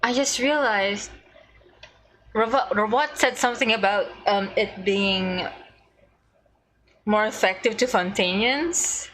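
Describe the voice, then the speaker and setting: A woman's voice: mid-range voice, takes it slowly, long pauses, a bit bright, slight background noise, rough recording. A woman giving casual live reactions while streaming a game.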